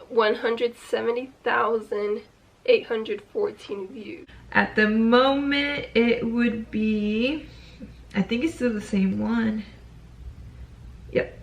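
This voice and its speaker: A woman's voice: mid-range voice, creaky, roomy sound. A woman in her twenties talking casually to the camera in a yearly check-in video, chatty and a bit hesitant, with frequent ums. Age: 20s. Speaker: YouTuber.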